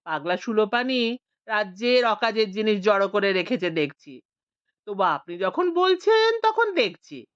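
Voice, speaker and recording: A woman's voice: mid-range voice, big pitch swings, unhurried, no room sound. Woman doing a bossy old ex-cop, talks like he's in charge, dull dry sound. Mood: brusque. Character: retired village police officer, older man, brusque.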